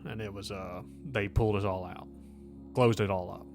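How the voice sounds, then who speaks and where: A man's voice: fairly low pitch, steady tone, normal speed, gravelly, over music. A man playing a bitter, injured miner in a tabletop game, grumbling and swearing as he talks. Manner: grumbling, heavily profane. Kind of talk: in-character tabletop roleplay.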